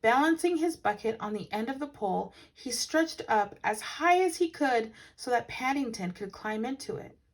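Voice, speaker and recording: A woman's voice: fairly high pitch, normal speed, few pauses. Woman reading a storybook aloud expressively. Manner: animated, with dramatic emphasis for children. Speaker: teacher reading a children's book aloud.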